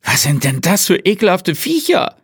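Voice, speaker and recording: A man voicing an excitable male police colleague who blurts out shrill exclamations. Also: moderate pace, husky, no room sound, clean studio sound, exaggerated voice. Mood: agitated.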